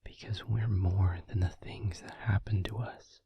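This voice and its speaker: Male actor as devoted vampire boyfriend for comfort audio: hushed, very husky, low and unhurried, soothing. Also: steady tone, no room sound, exaggerated voice. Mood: earnest, reassuring. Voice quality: breathy, husky whisper. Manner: slow, tender, soothing, reassuring. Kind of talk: intimate whispered ASMR comfort roleplay. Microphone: close microphone, dry.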